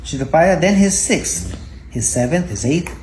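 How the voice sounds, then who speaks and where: Mellow mid-pitched voice, parent reading a bedtime Bible story, halting read-aloud, faint noise behind. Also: very animated. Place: indoors at home. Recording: home recording with faint background noise.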